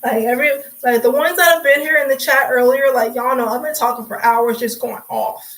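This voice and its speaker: Sassy hoarse young woman, slightly high voice. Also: fairly even intonation, fairly quick, a bit bright. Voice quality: raspy. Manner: sassy, chatty, calls viewers sweetie and honey. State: hoarse from talking for hours. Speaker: livestream host. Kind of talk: casual livestream chat.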